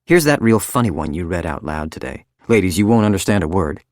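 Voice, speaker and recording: A brittle young wife who chatters brightly, as a male narrator puts her on. Her voice sits on a deep base, read close and dry with no room sound. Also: expressive, a little fast, dry recording. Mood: giddy.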